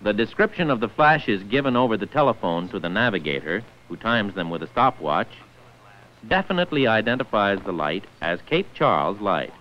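Male narrator, 1940s instructional film: deep-ish, precise, formal, varied pitch, dated lo-fi sound. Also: very animated, moderate pace, slight background noise. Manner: formal, precise enunciation of figures.

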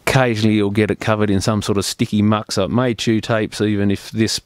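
A man with a fairly low, smooth and clear voice talks through a hands-on repair job. He explains things step by step in plain, practical terms, unscripted, and the sound is close and dry. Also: level tone, fast talker, no room sound. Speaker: repair technician presenting a tutorial.

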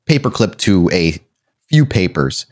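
Low-voiced male game master. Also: big pitch swings, unhurried, darkish tone. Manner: descriptive scene-setting. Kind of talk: narrating a tabletop horror game.

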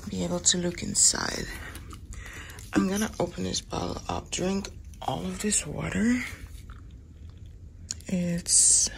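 A woman vlogging casually from a hotel room in the morning, her voice raspy and often dropping to a whisper. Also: lowish voice, slight background noise. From Netherlands. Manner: soft, often whispering in the dark.

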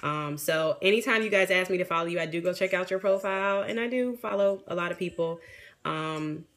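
A woman in her thirties, a realtor, chatting frankly with viewers on a phone livestream, her voice a bit raspy from a sinus infection. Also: lowish voice, brisk, fairly bright tone. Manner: frank, chatty, reads out and answers viewer comments. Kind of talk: casual livestream chat with viewers. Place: small room indoors. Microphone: phone front camera at arm's length, neckband headset.

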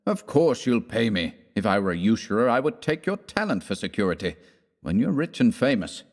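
A man's voice: on the low side, sing-song, brisk. A man voicing a generous, bossy young man in a storybook, insistent and fondly exasperated like an older brother. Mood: fond, commanding. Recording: long reverberant room echo.

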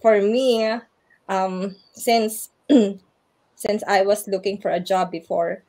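A woman's voice: mid-range voice, steady tone, slow pace, a little mellow, low-quality recording. A woman with a Filipino accent, a guest speaker giving earnest advice over a video call, laughing now and then. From Philippines. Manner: earnest, advising, laughs often. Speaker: guest speaker, English teacher in Japan. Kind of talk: online orientation interview answers.

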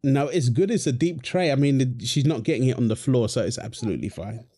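A man's voice: mid-range voice, lively intonation, fairly quick, dark tone, breathy edge. A man performing a wholesome audio roleplay as a husband and dad, teasing his partner and cooing fondly at their baby with a playful manner.

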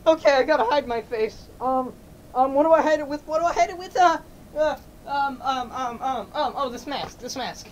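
Flustered, stammering boyfriend played by a woman: high voice, nervous repetition, amateur comedy skit, slightly noisy recording. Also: moderate pace, dull audio, lightly acted. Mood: anxious. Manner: nervous stammering, repeats words. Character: panicky, stammering, flustered boyfriend hiding behind a mask.

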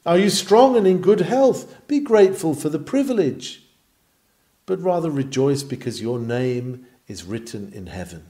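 A man reads a historical sermon with earnest, oratorical emphasis. His voice is mellow and mid-pitched, and it rises and falls in a very lively way as he works through the formal text. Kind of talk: sermon read aloud from a script.

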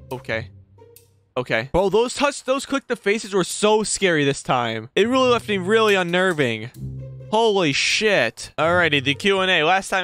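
A man's voice: sits high, fairly bright tone. A young man giving casual, sweary, slangy reactions to a horror game, jumpy and exclaiming, on a close desk mic. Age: young adult. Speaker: gaming video host. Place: small indoor gaming room. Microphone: close desk microphone, headset on.

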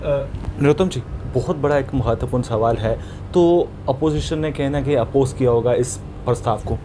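A man's voice: medium pitch, moderate pace. A man, speaking formally and wordily as a local news interviewer. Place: small indoor office, seated on a sofa. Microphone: handheld reporter mic. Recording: light background room noise.

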